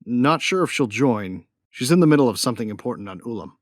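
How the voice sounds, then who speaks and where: An actor playing a wry, guarded young space adventurer, narrating in the first person and speaking dialogue in an audio drama. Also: male voice, mid-range voice, animated, fast talker, no echo, studio quality, natural voice.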